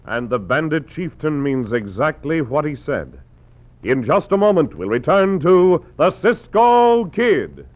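A man narrating as an old-time radio announcer with a smooth, dramatic delivery. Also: fairly high pitch, big pitch swings, measured pace. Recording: muffled old radio with organ.

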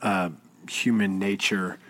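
Male podcast co-host, casual and low-key. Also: level tone, takes it slowly, a bit bright, poor audio. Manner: laid-back, short reactions. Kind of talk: casual chat.

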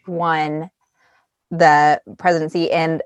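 A woman's voice: deep voice, level tone, slow pace, clean tone, no room sound, lo-fi sound. A woman hosting a conversational news podcast, commenting and asking questions as an editor.

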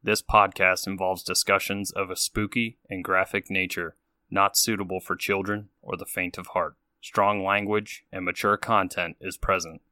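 Deep flat guy reading a spooky disclaimer, bright edge, dry sound. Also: moderate pace, no echo. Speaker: content warning announcer. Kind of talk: scripted disclaimer.

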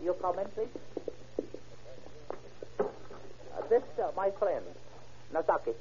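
An actor playing a small, timid guide who speaks halting broken English in an old radio drama. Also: male voice, high-pitched, lively intonation. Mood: hesitant, deferential. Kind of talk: 1940s radio acting. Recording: noisy muffled old radio recording.

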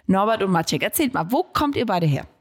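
A woman's voice: mid-range voice, sing-song, fairly quick, slightly rough. A woman hosting a podcast in a studio, reading an intro and then interviewing guests in a friendly way that explains things for listeners. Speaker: podcast host.

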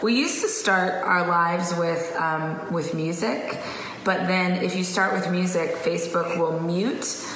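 A woman's voice: fairly low pitch, steady tone, slow, background noise. A woman in her thirties co-hosting a casual morning show, chatty and rambling, losing her voice. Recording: echoey room with long reverb.

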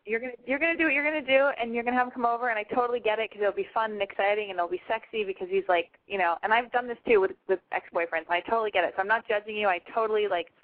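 A woman's voice: medium pitch, fairly even intonation. A woman in her twenties from Los Angeles, hosting a call-in advice show, frank and gushing. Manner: frank, gushing, talks fast. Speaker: call-in radio advice host. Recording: tinny phone-line sound.

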